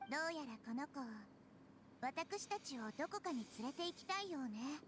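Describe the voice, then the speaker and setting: A wise child deity from an anime game, with a very high, melodic voice. She is gentle and thoughtful, explaining things with composure over clear background music. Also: expressive, racing. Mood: calm explaining. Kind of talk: anime video game acting.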